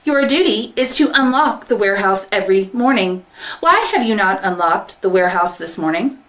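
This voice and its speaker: A woman voicing a big, logical farm robot with a stiff, measured, superior robotic delivery. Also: mid-range voice, expressive, a bit bright, natural voice. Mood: flat, officious.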